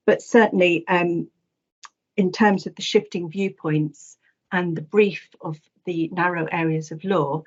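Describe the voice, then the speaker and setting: A woman academic giving a halting, hesitant slide presentation on a webinar. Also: lowish voice. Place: online webinar call. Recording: dull lo-fi webinar audio.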